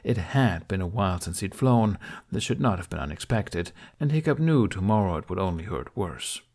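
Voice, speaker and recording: Low-voiced man narrating a fantasy audiobook. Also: lively intonation, moderate pace, a little mellow. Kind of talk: expressive scripted fiction narration.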